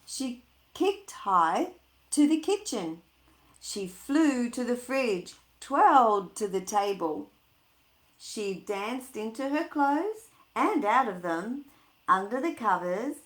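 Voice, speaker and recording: A woman reading a picture book aloud to children, chatting informally and putting expressive, singsong emphasis on the words. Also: mid-range voice. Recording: echoey room.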